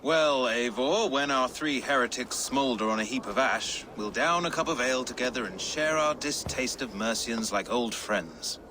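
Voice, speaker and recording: Salty, sardonic reeve over clear noise, a man acting. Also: mid-range voice, moderate pace, hardly any pauses, lightly acted. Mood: sardonic, friendly. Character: wry, foul-mouthed, defiant male medieval English reeve. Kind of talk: dramatic video game acting.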